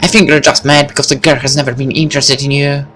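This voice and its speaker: Chatty, pouty teen schoolgirl for a visual novel, played by a man, pitched well up. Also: level tone, fast talker, sharp tone, a little hiss or hum, natural voice. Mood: teasing. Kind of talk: visual novel character read-aloud.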